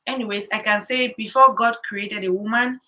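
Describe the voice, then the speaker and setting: A woman's voice: mid-range voice, fairly even intonation, moderate pace, pushed and bright. A woman talking casually about life as a new mother, chatty with many fillers and repeated words. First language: English. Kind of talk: casual chat. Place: home in Korea.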